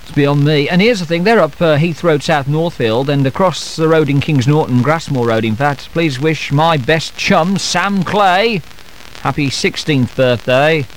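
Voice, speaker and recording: A man's voice: fairly high pitch, a little slow, a little hiss or hum, low-quality recording. A man hosting breakfast radio, reading out birthday letters with cheeky, teasing, rambling banter. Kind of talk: jokey morning radio patter.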